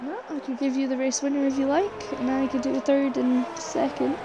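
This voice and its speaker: Brief: female race commentator, slightly high voice, chatty, loud engine noise behind. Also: fairly even intonation, brisk. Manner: chatty, giggly, sleepy yawning. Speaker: lead commentator. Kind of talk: casual live sim-racing commentary.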